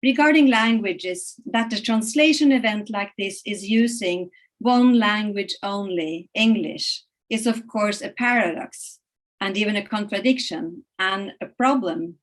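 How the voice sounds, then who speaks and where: A middle-aged woman whose first languages are Norwegian and Italian, giving a measured, earnest welcome address over a video call, with frequent hesitations and restarts. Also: mid-range voice. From Norway and Italy. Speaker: conference opener. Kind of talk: formal welcome address, read.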